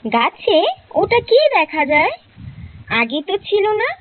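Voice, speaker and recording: Very high-pitched grumbling cartoon housewife, played by a woman. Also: sing-song, unhurried, no echo, exaggerated voice. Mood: grumbling, curious. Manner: complaining, talks to herself. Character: grumbling, chatty housewife hounded by crows. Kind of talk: cartoon story monologue. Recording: narrow-band, phone-like sound.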